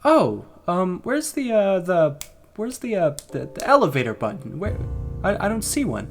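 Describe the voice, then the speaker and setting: An actor playing a fussy, hesitant male client in an audio drama, speaking haltingly. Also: high voice, very animated, faint music behind. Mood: uneasy.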